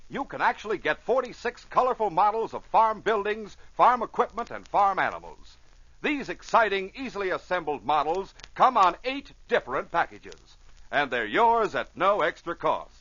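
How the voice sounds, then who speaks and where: A male old-time radio announcer with a North American accent. His forceful, fairly high voice swings widely in a theatrical, emphatic delivery. The recording is a dull vintage broadcast. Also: no echo. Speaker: announcer and narrator. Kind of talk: dramatic 1940s-50s radio narration and sponsor reads. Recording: muffled old radio with faint noise.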